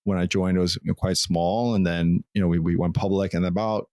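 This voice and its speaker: A man in his forties with a New York accent, a guest recounting his career in a thoughtful, measured way in an office interview. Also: low-pitched, fairly even intonation, a little mellow, no room sound, studio quality. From Long Island, New York, US. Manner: thoughtful, measured, occasional restarts. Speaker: guest, former CFO. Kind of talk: conversational interview.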